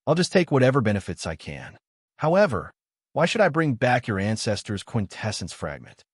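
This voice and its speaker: A man's voice: medium pitch, big pitch swings, normal speed, breathy edge, dry recording, studio quality. A man voicing a brash, cocky young male fantasy hero in an audiobook, exclaiming and scoffing as he talks. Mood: scornful. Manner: exclamatory, scoffing, self-interested.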